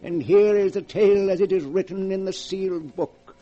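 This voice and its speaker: A man's voice: sits high, soft background music, lightly acted. A man playing a sinister book keeper. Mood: musing. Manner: slow, sinister, relishing storyteller. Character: mysterious male keeper of a book of dark tales. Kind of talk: 1940s radio acting. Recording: dull lo-fi 1940s radio recording.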